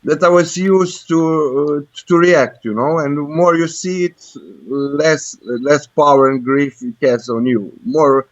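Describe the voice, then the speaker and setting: A man's voice: a little high, slow, hardly any pauses, no room sound. A man with a Croatian accent, a caller talking casually and hesitantly, groping for words. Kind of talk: casual, personal conversation. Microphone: internet voice call. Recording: dull lo-fi internet call.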